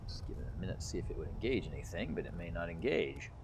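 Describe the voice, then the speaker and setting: A man's voice: deep voice, expressive, racing, gravelly, background noise. A man giving casual gameplay commentary as host of a gaming video, musing aloud as he plays. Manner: muses aloud while playing.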